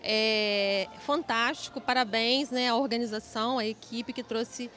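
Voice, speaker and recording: A woman's voice: on the high side, steady tone, measured pace, fairly bright tone. Enthusiastic woman in her thirties. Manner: enthusiastic, praising. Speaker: event attendee interviewed.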